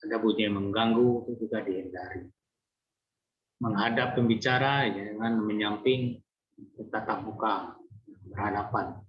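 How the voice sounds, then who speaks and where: A man giving an online nursing lecture in a relaxed, conversational way, frequent fillers and restarts. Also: lowish voice, moderate pace, long pauses. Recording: roomy, dull lo-fi lecture recording.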